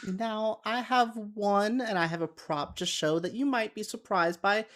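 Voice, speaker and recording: A man's voice: high-pitched, a little slow, fairly bright tone. A man on a panel giving his opinions in an earnest, conversational way. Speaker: podcast panelist. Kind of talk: casual chat, giving opinions.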